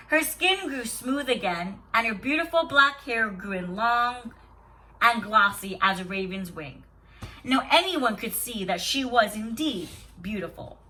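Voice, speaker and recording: A woman teacher reading a story aloud to young children in an expressive, deliberate, instructive way with dramatic pauses. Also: on the high side, rough recording. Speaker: kindergarten teacher.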